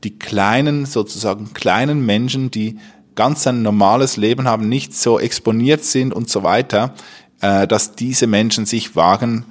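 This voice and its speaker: A man hosting a podcast, speaking casually and off the cuff, haltingly searching for words. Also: lowish voice. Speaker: podcast host and interviewer. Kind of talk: casual unscripted podcast talk.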